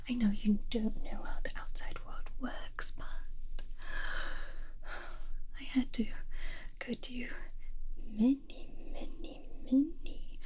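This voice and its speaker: A woman playing an obsessive, doting female mad scientist in a whispered ASMR fantasy roleplay, cooing and gently scolding in a soft, breathy voice. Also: a little high, steady tone, slow pace, pauses often, full character voice. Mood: proud, doting.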